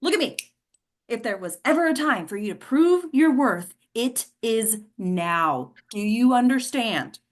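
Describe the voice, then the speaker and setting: Woman acting a high-voiced, frantic, domineering political aide. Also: expressive, unhurried. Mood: commanding, exasperated. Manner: sharp-tongued, commanding, stammers under pressure. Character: frantic, foul-mouthed, domineering female presidential aide. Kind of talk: comedy play table read.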